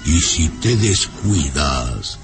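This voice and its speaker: A man's voice: rumbling low, very animated, very slow, over music, full character voice. A man playing an imperious, scornful baron and father in a theatrical, haughty, commanding radio serial style. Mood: menacing, measured. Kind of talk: old radio serial acting.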